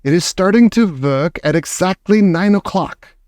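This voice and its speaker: A man voicing a gloating old female witch leader who shouts in broken grammar. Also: sits high, animated, moderate pace, no room sound, clean studio sound, pitched above the performer's own voice.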